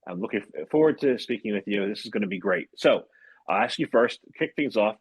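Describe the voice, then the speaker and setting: A man's voice: lowish voice, expressive, brisk, slightly rough, no echo. A man hosting a podcast interview, upbeat and prone to repeating words as he thinks. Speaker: podcast host.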